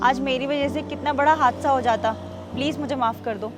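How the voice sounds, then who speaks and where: A woman's voice: extremely high pitch, a little fast, music in the background. An actress playing an arrogant rich young woman who berates a guard in a short drama skit. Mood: remorseful. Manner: rude and shouting, later softly apologetic.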